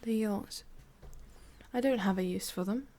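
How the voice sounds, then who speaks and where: A woman with an English accent playing a cruel, condescending female boss in an ASMR roleplay, murmuring with drawn-out pauses. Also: medium pitch, mellow, lightly acted. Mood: condescending, controlled.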